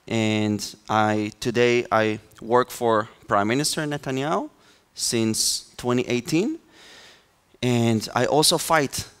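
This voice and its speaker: Young israeli guy being interviewed on stage, clean smooth voice, israeli accent, chatting. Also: mid-range voice, a bit bright. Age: young adult. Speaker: interview guest, speaker. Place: large hall stage, indoors. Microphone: headset.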